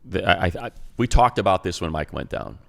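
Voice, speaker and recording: A man's voice: sits low, animated, a little fast, creaky, fairly bright tone. A middle-aged man who is a radio play-by-play announcer, talking through football analysis in a conversational, emphatic way with many fillers. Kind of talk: casual sports podcast analysis.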